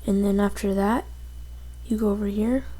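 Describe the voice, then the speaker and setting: A woman presenting a casual software tutorial as she goes through the steps on a computer. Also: medium pitch, fairly even intonation, moderate pace.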